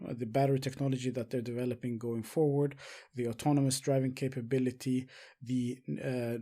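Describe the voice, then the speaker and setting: A man presenting investing commentary as a show host, speaking haltingly with frequent filled pauses. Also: mid-range voice, warm tone. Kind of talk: semi-scripted stock market commentary.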